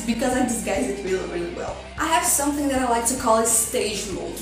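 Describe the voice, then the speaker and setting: A young Brazilian woman with a Brazilian Portuguese accent chats to her camera in a candid, self-mocking way. Her voice sits a little high and comes from a step back from the mic. The room echoes heavily, and music plays clearly under her. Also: far from the mic. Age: young adult. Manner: self-deprecating, chatty, animated swings. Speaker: vlogger. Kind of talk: candid personal vlog monologue.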